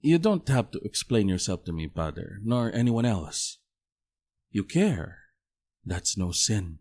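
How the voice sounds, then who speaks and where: An actor playing a polite, earnest visiting priest in an audio drama, gentle, hesitant and formal. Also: male voice, fairly low pitch, very animated, measured pace. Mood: gentle, reassuring.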